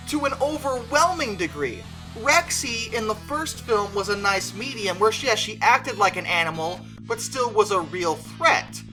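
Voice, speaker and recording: This is an opinionated reviewer speaking conversationally in a bright, punchy voice of medium pitch. Key words get stretched and stressed for emphasis. Faint music plays behind the commentary. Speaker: video creator and host.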